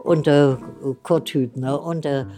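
An older woman interviewee recalling the past conversationally, a little halting. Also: low-pitched, steady tone, music in the background. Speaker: eyewitness interviewee recalling events. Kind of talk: conversational reminiscence.

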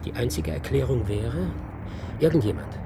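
A man's voice: mid-range voice, sing-song, normal speed, background noise. A man acting in a German radio play as an analytical investigator, speaking in an articulate, deliberating way. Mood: confident, analytical. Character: well-connected male investigator, brisk and analytical.

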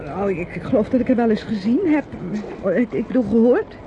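A hesitant young woman, played by an actress in a radio drama, polite but guarded and evasive. Her halting speech comes through a muffled old recording with clear background noise. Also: a little high, expressive, close to an everyday voice. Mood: flustered, evasive. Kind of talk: radio play acting.

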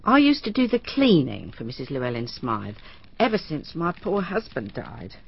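Humble widowed charwoman, lowish husky voice, lively pitch, dull radio recording. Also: normal speed, dry recording, full character voice. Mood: deferential. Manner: deferential, plain-spoken storyteller. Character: widowed village cleaning woman, anxious, humble. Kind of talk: radio drama acting.

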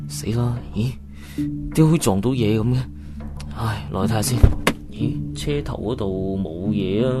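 A man's voice: medium pitch, natural voice. An actor playing a hot-tempered, crude male driver in a Cantonese radio drama, with a Hong Kong accent and slangy, muttered cursing. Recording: music behind the voice.

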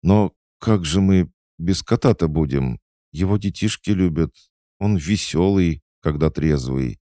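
Man doing a timid, high-pitched young woman. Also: a little slow, mellow, dry recording, clean studio sound. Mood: flustered. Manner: hesitant, pleading. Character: timid, flustered young woman park manager.

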